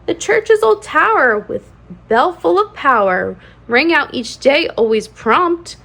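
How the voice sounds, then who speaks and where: Animated high-pitched woman reading a storybook. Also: slow, vocal fry. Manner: singsong, rhyming cadence with expressive emphasis. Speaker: children's read-aloud channel host. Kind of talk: animated storybook read-aloud for young children.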